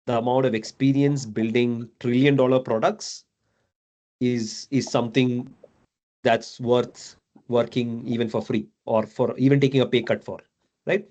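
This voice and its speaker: A man's voice: mid-range voice, darkish tone. Chatty man from Dubai mentoring. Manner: chatty, many fillers and tag questions. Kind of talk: casual video call chat.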